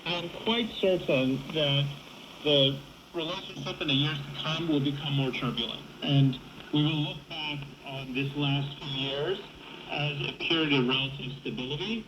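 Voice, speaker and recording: A fairly high-voiced man gives measured, orderly political analysis as a panel guest. He sounds very roomy, on a call relayed over shortwave radio with faint noise. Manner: structured, enumerating points.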